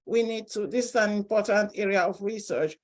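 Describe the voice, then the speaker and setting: A woman with a Cameroonian accent speaks at an academic seminar. Her voice sits at an average pitch for a woman. She presents as a professor would, in an informal and personal style. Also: brisk.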